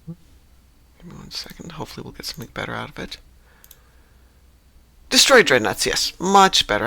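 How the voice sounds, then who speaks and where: A man's voice: mid-range voice, creaky, sharp tone, breathy edge, dry recording. A man streaming a game, chatting casually and musing aloud as he sorts through menus. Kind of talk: casual chat while gaming.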